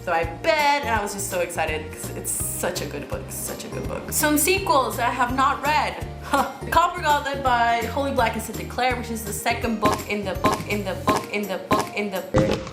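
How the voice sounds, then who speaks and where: A woman's voice: on the high side, animated, moderate pace. A young woman from Mexico whose first language is Spanish, rambling chattily through a casual book haul vlog with frequent restarts. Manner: chatty, rambling, many restarts and repeated words. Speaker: book vlogger. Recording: roomy sound with music underneath.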